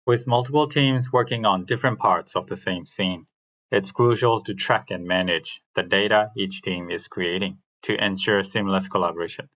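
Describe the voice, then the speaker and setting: A man's voice: deep voice, slow pace, a bit bright, dull audio. A man giving a scripted technical presentation as a technical artist.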